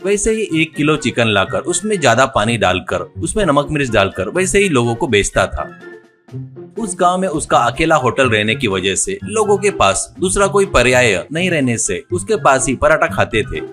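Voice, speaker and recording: A man's voice: mid-range voice, sing-song, normal speed, fairly bright tone, faint music behind. A man telling a scripted folk-style moral story as narrator.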